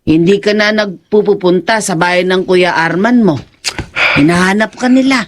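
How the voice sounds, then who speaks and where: A woman's voice: medium pitch, normal speed, natural voice. A woman playing an anxious, easily frightened aunt in a horror audio drama, exclaiming and repeating her words. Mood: concerned. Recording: clear background noise.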